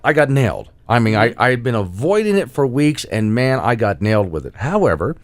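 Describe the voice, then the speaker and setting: A man's voice: mid-range voice, sing-song, a little fast. A man hosting a casual talk show, chatty and joking as he tells everyday stories. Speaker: show host.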